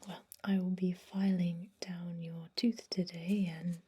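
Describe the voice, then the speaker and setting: A woman whispering an ASMR roleplay as a calm, businesslike vampire dentist, giving gentle, soft-spoken instructions. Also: fairly low pitch, slow, husky.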